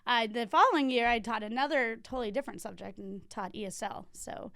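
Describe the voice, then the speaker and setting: Chatty Texan woman, crisp higher voice. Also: brisk, bright, forceful tone, no room sound, studio quality. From Texas. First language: Spanish alongside English. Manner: chatty storytelling with many fillers. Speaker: guest, library branch manager. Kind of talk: casual interview.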